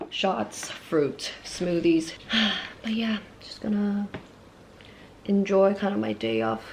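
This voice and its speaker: Hoarse, sick woman half-whispering to her camera. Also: slight background noise. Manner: quiet and low-key, slipping into whispers. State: sick, hoarse with a scratchy throat. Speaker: vlogger. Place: at home in her room.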